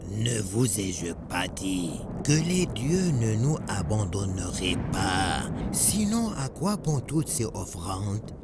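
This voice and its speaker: Slow, scornful cartoon unbeliever. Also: male voice, on the low side, big pitch swings, heavy background noise. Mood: triumphant. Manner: taunting, theatrical. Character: scornful, mocking unbeliever devoted to his idols. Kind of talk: French cartoon dubbing.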